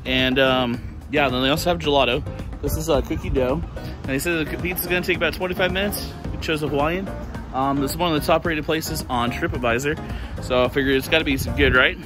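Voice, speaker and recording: A man's voice: on the high side, fairly even intonation, a little fast, music in the background. A man in his thirties from southern California, talking casually as a travel vlogger, rambling with lots of fillers, filmed at arm's length. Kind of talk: casual vlog narration. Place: outdoors, hotel room and parked van. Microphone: handheld camera at arm's length.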